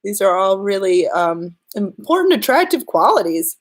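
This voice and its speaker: A woman's voice: mid-range voice, sing-song, moderate pace. A woman with a slight cold giving earnest, direct relationship advice to her viewers in a live-streamed talk. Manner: earnest, direct advice spoken straight to the listener. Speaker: relationship and law-of-attraction coach.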